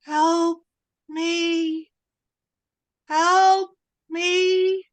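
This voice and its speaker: A woman's voice: very high voice, flat delivery, no room sound, exaggerated voice. A woman playing a terrified buried victim, crying out wildly for help in an old-time radio drama. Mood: terrified, desperate. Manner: wild, drawn-out crying out.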